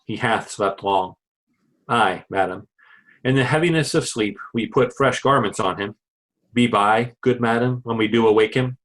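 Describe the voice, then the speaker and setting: A man's voice: medium pitch, moderate pace, fairly bright tone, close to an everyday voice, poor audio. A man reading lines and directions in a readers' theatre production of Shakespeare.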